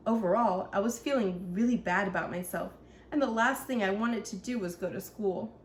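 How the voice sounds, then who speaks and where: A woman's voice: on the high side, natural voice. Woman reading as an earnest little storybook ninja, echoey room. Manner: earnest, instructive, speaking simply to children. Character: young ninja child, earnest storybook narrator teaching positive thinking. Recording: echoey room, faint background noise.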